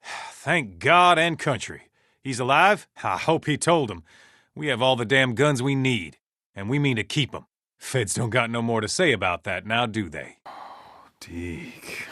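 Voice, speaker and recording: A man's voice: medium pitch, bright, forceful tone, no echo, clean studio sound. A man acting a folksy, commanding survivor camp leader in a video game, speaking plainly and naturalistically. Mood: terse, wary, then sly, bargaining.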